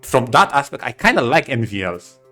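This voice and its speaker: A man with a medium-pitched voice talks casually as a podcast co-host. He works through his opinions haltingly, doubling back on words. Music plays softly behind him. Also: big pitch swings.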